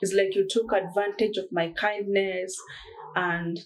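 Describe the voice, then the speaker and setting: A young woman from Kenya and Uganda, interviewed, telling her own story in an earnest, halting way with many restarts. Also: mid-range voice, little pitch movement, a little hiss or hum, rough recording. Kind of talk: personal testimony in conversation.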